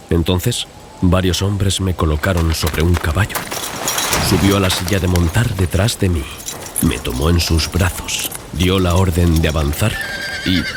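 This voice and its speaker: Male narrator for gothic horror audiobook: very low register, dramatic scripted read, clear sound-design ambience behind. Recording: clear background ambience and noise.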